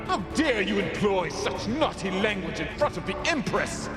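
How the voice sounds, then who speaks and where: A prudish alien zealot for a video game. The voice is high and swings wildly in pitch, pompous and theatrically indignant. Loud music plays behind. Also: male voice, sing-song, moderate pace. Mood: outraged. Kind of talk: comic video game voice acting.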